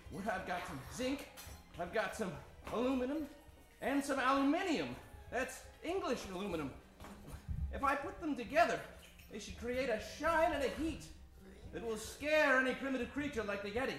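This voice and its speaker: Plucky radio adventure hero, echoey. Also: male voice, very high-pitched, fairly bright tone, full character voice. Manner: earnest, talking to himself. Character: plucky, resourceful young male adventurer hero. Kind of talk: comic old-time radio adventure acting. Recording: echoey stage recording.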